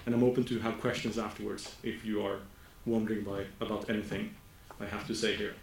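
Norwegian guy giving a lecture in english, slow and careful, keeps fixing his words, roomy echo. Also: fairly low pitch, flat delivery, gravelly, a little hiss or hum. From Norway. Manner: slow, careful delivery with frequent self-corrections. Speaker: academic speaker. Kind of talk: conference presentation. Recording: echoey room.

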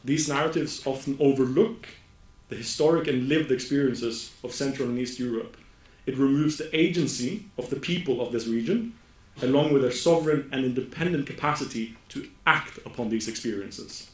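A Norwegian-accented man delivers an academic talk at a middle pitch, measured and deliberate, sometimes stopping to fix a word. His voice rings around an echoey room. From Norway. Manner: slow, careful delivery with frequent self-corrections. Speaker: academic speaker. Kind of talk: conference presentation.

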